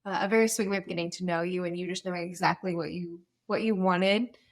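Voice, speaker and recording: A woman's voice: on the low side, steady tone, fast. Playful young woman podcast guest. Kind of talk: casual podcast conversation.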